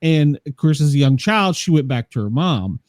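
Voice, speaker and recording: A man hosting a casual interview, chatty and quick to laugh. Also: fairly high pitch, animated, darkish tone, no room sound, studio quality. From Washington, D.C.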